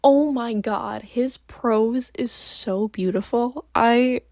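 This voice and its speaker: A woman's voice: fairly high pitch, takes it slowly, darkish tone, no echo, clean studio sound. A woman chatting casually in a book review vlog, just woken up, gushing with lots of ums. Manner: gushing, filler-heavy, many ums. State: just woke up. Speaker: book vlogger.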